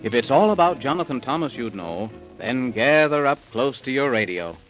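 A man's voice: mid-range voice, expressive, moderate pace, music in the background. A man narrating a rhyming children's bedtime story in theatrical, sing-song 1930s radio style. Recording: muffled 1930s radio recording.

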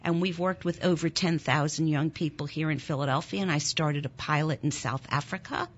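A German-born woman in her sixties, a nonprofit founder, telling a deeply personal story in an interview in an earnest, intense way, her voice rising sharply when moved. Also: a little fast, dull audio. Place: Philadelphia.

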